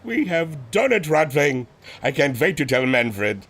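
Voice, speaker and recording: An actor playing a modest, earnest German engineer with a German accent, speaking formally and a little hesitantly in a radio drama. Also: male voice, on the high side, sing-song, a little slow, a bit bright, breathy edge, full character voice. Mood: elated. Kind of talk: radio adventure drama acting.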